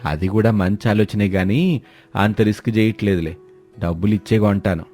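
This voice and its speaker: A man's voice: lowish voice, moderate pace, darkish tone, lightly acted. A man voicing a cunning village husband in a story, brazen, colloquial and dismissive. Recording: music behind the voice.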